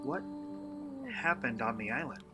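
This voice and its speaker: An actor playing an earnest, disbelieving listener in a radio play, asking questions in a hesitant, incredulous way. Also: male voice, mid-range voice, a little slow, over loud music, natural voice. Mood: curious, probing. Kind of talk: dramatic radio play dialogue.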